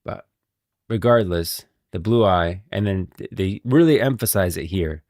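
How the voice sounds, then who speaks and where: Low-voiced man streaming, dry close sound. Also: measured pace, darkish tone, no echo. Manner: emphatic, repetitive, slangy. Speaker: live streamer. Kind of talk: casual live-stream commentary on game lore.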